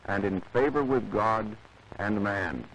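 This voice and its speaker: Man, very slow delivery, solemn scripture reading, noisy radio-like clip. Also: on the low side. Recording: noisy radio-like archival recording.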